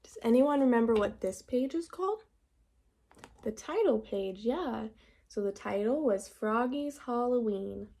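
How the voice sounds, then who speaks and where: A woman with a fairly high voice reads a picture book aloud to young children. She goes very slowly, in a teacherly manner, pausing to prompt them with simple questions. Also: animated, warm tone, slight background noise. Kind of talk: storybook reading with questions to children.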